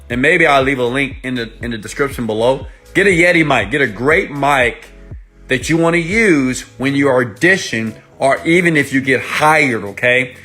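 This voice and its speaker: A man's voice: a little high, fairly bright tone. A man giving an emphatic motivational tutorial as an online coach, checking in with rhetorical questions, recorded on an iPhone. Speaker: online money-making coach. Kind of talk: motivational tutorial pitch to viewers. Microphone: iPhone microphone.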